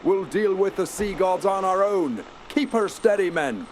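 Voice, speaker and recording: An actor playing a bold, commanding ship's captain in a radio drama, hearty and used to giving orders. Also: male voice, sits high, expressive, measured pace, background noise. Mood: wry, steady. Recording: loud storm and ship effects.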